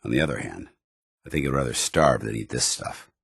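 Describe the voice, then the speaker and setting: An actor playing a stern, principled military paladin in a video game, speaking in a formal, earnest, commanding soldierly way. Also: male voice, very deep voice, a little fast, gravelly, fairly bright tone, breathy edge, dry recording, studio quality, full character voice. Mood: watchful, businesslike. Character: stern, principled male military paladin, loyal to his order. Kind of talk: video game companion dialogue.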